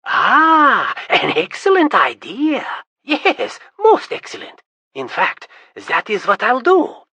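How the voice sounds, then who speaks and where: A reader voicing an excitable, vain young prince who stammers when nervous and gets shrill and loud when pleased. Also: a little slow, husky, no echo, heavily acted. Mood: gleeful.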